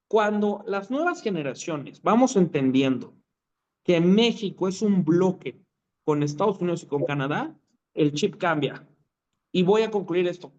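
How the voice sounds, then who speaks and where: A man talking as a guest on an online talk show, emphatic, repeating his points for effect. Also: high voice. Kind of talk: conversational commentary. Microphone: video call. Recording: muffled lo-fi audio.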